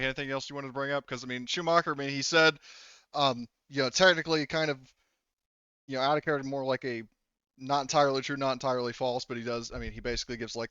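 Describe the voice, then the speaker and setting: A man's voice: mid-range voice, bright, forceful tone, clear, resonant tone, no room sound, lo-fi sound. A man acting as game master, talking casually in a rambling, informal way with some swearing. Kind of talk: casual tabletop game narration.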